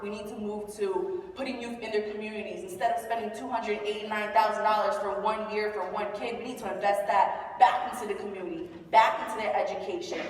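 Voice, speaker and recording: A woman's voice: mid-range voice, steady tone, brisk, few pauses, slight background noise. A woman youth advocate on a conference panel, making an impassioned case with repeated "I have a vision" statements. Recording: echoey hall, mic heard from far off.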